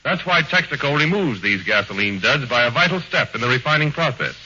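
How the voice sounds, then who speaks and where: An American announcer talks in a smooth, earnest pitchman manner. His pitch moves a lot and his tone is very bright. The broadcast sounds muffled and faintly hissy. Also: animated, fairly quick. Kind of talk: 1940s radio comedy sketch and commercial. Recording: dull 1940s radio broadcast with faint hiss.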